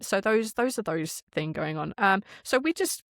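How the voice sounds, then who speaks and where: A woman chatting casually as a podcast guest, telling things in an animated, enthusiastic way. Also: medium pitch, normal speed, no echo, studio quality. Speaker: podcast guest, audio drama creator and game master. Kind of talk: casual interview chat.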